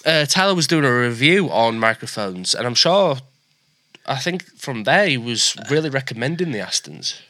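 A man with a naturally high, bright voice chats and jokes his way through a casual podcast conversation. He is recorded close on a studio microphone with a dry sound and no room echo. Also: sing-song, moderate pace. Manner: chatty, joking, self-deprecating. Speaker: podcast host. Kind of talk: casual chat. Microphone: dynamic studio mic with preamp.

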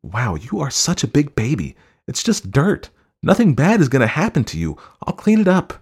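Exasperated wife, voiced by a man, audiobook drama, defiant, indignant, raised pitch, expressive. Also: a little fast, lightly acted. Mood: mocking, dismissive.

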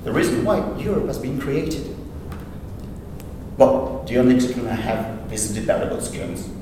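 Animated Frenchman speaking in an echoey hall. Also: medium pitch, moderate pace. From France. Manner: animated, emphatic, wry. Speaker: speaker at a forum launch. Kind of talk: public speech. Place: large event hall. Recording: echoey hall from far off, noisy.

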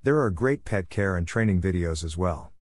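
A man's voice: sits low, lively intonation, normal speed, a little mellow, no echo, studio quality. A man hosting a classic radio podcast, reading his introduction and a sponsor message in a friendly, earnest way. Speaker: podcast host.

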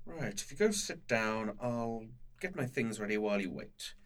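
A man playing a brisk, secretive scientist who explains things in a controlled, persuasive way in an audio drama. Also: fairly low pitch, expressive, lightly acted. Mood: reassuring. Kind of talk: naturalistic audio drama acting.

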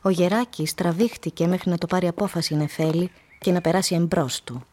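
A woman narrator reading scripted literary prose for a radio play in a measured, storytelling manner. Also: fairly low pitch, fairly even intonation, darkish tone.

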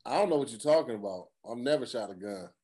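A man's voice: medium pitch, animated, quick pace, warm tone, husky. A man teasing his friends in a casual group chat over a video call. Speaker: panelist.

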